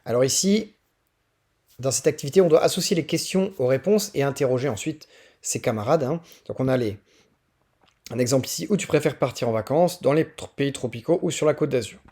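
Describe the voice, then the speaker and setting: A man's voice: mid-range voice, lively intonation, a little fast, mellow. A man from northern France with a French accent presents teaching resources in an informal, explanatory screen tutorial, often hesitating as he thinks aloud.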